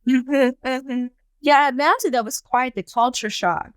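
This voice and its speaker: A woman's voice: high-pitched, unhurried, smooth, dry recording, clean studio sound. A middle-aged woman hosting a podcast, asking questions and encouraging her guest with affirming murmurs. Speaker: podcast host. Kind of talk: interview host.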